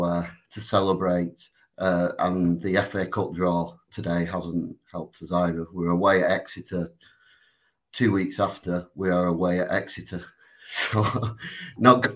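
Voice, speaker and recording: Deep voiced guy on a football pod, wry and rambly. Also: fairly bright tone. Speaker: podcast guest. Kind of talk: casual football podcast chat.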